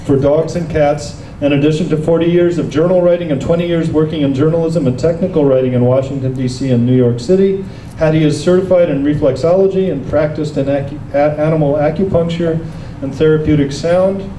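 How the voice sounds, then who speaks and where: A man reads a guest's introduction from a sheet at a small live reading event, now and then catching and correcting himself. His voice is very dark and mellow, picked up from some distance in a roomy space. Faint noise sits behind him. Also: fairly high pitch, a little fast. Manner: reads from a sheet, occasional slips and self-corrections. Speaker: reading series host. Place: small stage in a dark club. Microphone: stand mic.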